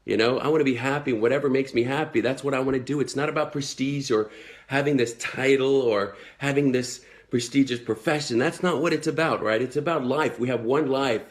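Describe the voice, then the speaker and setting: A man's voice: medium pitch, brisk. A Swedish man in his twenties with an American accent, talking earnestly and motivationally to followers in a live video, with many fillers. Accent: American, learned in the United States. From Sweden. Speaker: network marketing entrepreneur.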